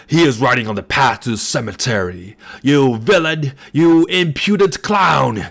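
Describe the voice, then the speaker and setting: A man reading a storybook, voicing an enraged shabby man who bellows curses in a high, trembling voice. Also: expressive, unhurried, gravelly, a bit bright, exaggerated voice. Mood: raving fury. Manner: bellowing and cursing.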